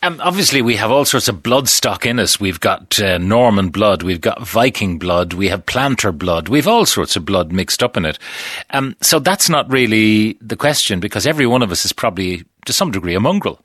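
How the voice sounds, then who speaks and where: Bright-voiced radio host. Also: male voice, lowish voice, big pitch swings, normal speed, no echo, studio quality. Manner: opens with a run of rhetorical questions. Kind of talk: radio interview.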